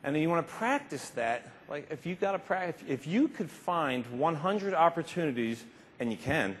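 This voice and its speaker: A man's voice: a little high, sing-song, normal speed, a little hiss or hum. A man in his fifties giving an emphatic, informal motivational keynote speech, repeating phrases for effect. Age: 50s. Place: large conference stage indoors. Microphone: lapel mic. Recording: reverberant large hall, slightly muffled speech.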